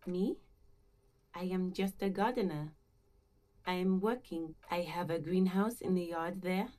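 Mellow, reserved actress as a woman gardener. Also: fairly low pitch, unhurried, lightly acted. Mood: reserved, hesitant. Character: reserved working-class female gardener, uneasy, politely hesitant. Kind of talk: video game dialogue acting.

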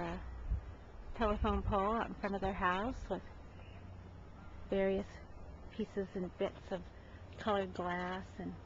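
A woman narrates a walking tour of houses in a chatty, admiring way, pausing often with ums and uhs. Her voice sits at an ordinary middle pitch. The recording is dull and lo-fi, and steady background noise runs under it. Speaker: real estate agent giving a home tour.

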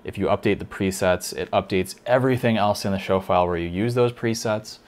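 A man teaching a lighting console in a casual tutorial, thinking aloud with fillers, a little hoarse. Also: on the low side, a little fast. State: slightly hoarse, losing his voice. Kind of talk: casual screen-recorded tutorial.

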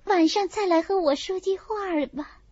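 Woman voicing a high, plaintive, melancholy teenage girl. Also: normal speed, a little mellow, no echo, dull audio. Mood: grateful. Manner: plaintive, confiding. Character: frail, melancholy, sensitive teenage girl of a noble household. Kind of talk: dramatised audiobook reading of a classical novel.

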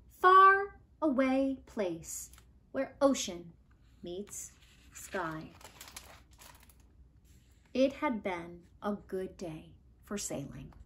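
Animated, high-voiced woman reading aloud. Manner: deliberate, expressive storytelling delivery. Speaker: read-aloud storyteller. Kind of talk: expressive picture-book read-aloud for children. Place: living room at home, indoors.

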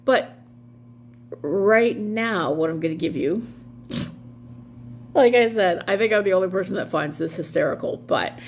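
A middle-aged woman vlogging casually in a wry, amused way, wandering off into asides. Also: lowish voice. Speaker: vlog host doing dramatic readings. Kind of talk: casual rambling commentary. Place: small room at home, indoors. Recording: clearly audible background hum noise.

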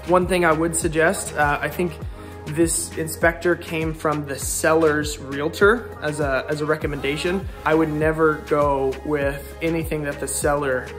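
A man's voice: a little high, fairly even intonation, a little slow. A man in his thirties with an American accent, giving an informal, explanatory renovation walkthrough as a contractor and vlog host. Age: 30s. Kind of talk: casual walkthrough vlog talk. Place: handheld walkthrough in house, garage and covered patio. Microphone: phone or camera at arm's length. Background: music.

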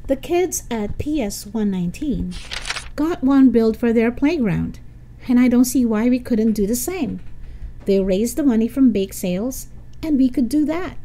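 Woman voicing an earnest, mild young schoolboy, mellow. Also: fairly high pitch, lively intonation, measured pace, a little hiss or hum, pitched above the performer's own voice. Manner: plain, hesitant, earnest.

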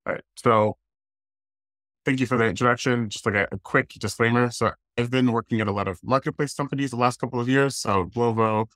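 A man's voice: mid-range voice, steady tone, a little fast, a bit bright, no echo. A man in his thirties with an American accent, giving an informal online presentation in a casual, conversational way. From Washington, D.C., United States. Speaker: guest speaker, design leader. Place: indoors, plain room on a video call.

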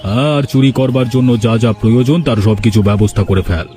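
A man's voice: mid-range voice, fast, close to an everyday voice. A man voicing a crooked, arrogant village boss in a fairy-tale cartoon, self-important and calculating. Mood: plotting. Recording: music behind the voice.

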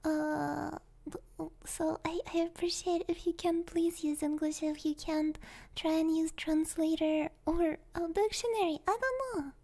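A young woman, a native Russian speaker, chatting softly and hesitantly in a gentle, playful ASMR livestream style, often dropping into a whisper, close on the microphone. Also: extremely high pitch, fairly even intonation, measured pace, creaky. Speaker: ASMR streamer. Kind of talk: soft ASMR livestream chat. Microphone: close binaural microphone.

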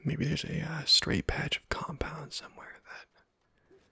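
A man softly murmuring and whispering ASMR gameplay commentary, musing to himself in a hushed, breathy way close to the microphone. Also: on the high side, completely flat delivery, a little slow, dry recording. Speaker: gaming video host.

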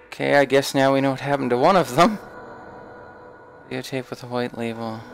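A man's voice: mid-range voice, brisk, gravelly, slight background noise. A man giving casual, wry and slightly uneasy commentary as he plays a horror game. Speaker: let's play gamer.

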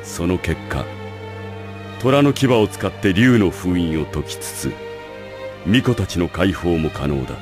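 A man playing a calm, scheming school director, measured and formal, in an anime audio drama. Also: low-pitched, over music. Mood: calm, calculating. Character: calm, calculating male school director with secret plans.

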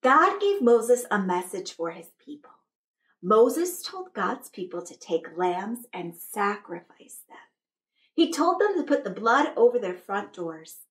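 A woman's voice: mid-range voice, very animated, a little slow. A woman in her twenties hosting children's story time, speaking in an encouraging, smiling, sing-song way. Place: small indoor set with a couch. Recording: roomy sound, distant microphone.

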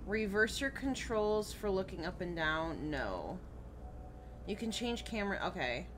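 A woman's voice: medium pitch, very animated, measured pace, background noise. A young woman from Chicago with a Midwestern accent, chatting casually while streaming a game, rambling with fillers and giggles. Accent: Midwestern American. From Chicago area. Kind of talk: casual live game commentary.

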